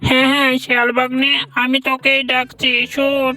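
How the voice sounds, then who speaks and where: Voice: high voice, monotone, moderate pace, sharp tone. A performer voicing a bossy but fair uncle tiger landowner in a cartoon, giving instructions in a woman's-sounding voice. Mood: commanding. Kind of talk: cartoon voice acting. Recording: distant voice with music underneath.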